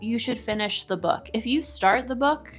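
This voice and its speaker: A woman's voice: fairly high pitch, normal speed, faint music behind. A woman co-hosting a casual, bantering chat, emphatic and opinionated with a teasing edge. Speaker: co-host of a book discussion video.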